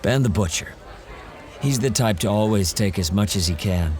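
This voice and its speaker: An actor playing a wry, self-assured monster hunter in an audio drama, calm and measured. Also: male voice, fairly low pitch, some chatter behind, lightly acted.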